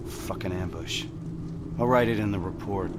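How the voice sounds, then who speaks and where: An actor playing a weary, blunt military squad leader in a video game cutscene. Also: male voice, low-pitched, normal speed, lightly acted. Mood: weary, irritated. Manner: blunt, commanding. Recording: heavy vehicle and outdoor noise behind.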